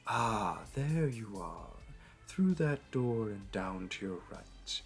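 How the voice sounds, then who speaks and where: A man playing a smug, flirty supervillain in an audio roleplay, talking in a teasing, theatrical, unhurried way. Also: on the low side, big pitch swings, full character voice. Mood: playful, teasing. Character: smug, flirty male supervillain hosting a hero in his lair. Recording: music behind. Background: background music.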